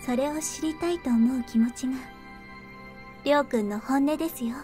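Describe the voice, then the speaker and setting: An actress voicing a gentle, kindly young woman in a Japanese visual novel, speaking earnestly and reassuringly. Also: high voice, racing, faint music behind, close to an everyday voice.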